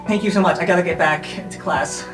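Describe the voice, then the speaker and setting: High school girl played by a male game master: high, gushing, polite, in a roomy game recording. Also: fairly even intonation, fast talker, over music, close to an everyday voice. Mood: grateful, casual. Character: grateful high school girl named Amy.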